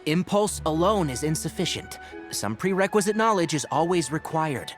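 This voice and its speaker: An actor playing a patient young male ranger scholar in a game, measured and explanatory. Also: on the high side, expressive, over music, close to an everyday voice. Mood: explaining. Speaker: game character.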